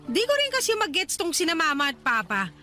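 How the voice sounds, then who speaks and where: A sassy, lively young Filipina schemer. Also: female voice, extremely high pitch, brisk, faint music behind, full character voice. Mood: frustrated, insistent. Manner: sassy, mixing English phrases into talk. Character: brash, ambitious young Filipina woman, sassy and dismissive. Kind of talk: radio drama acting.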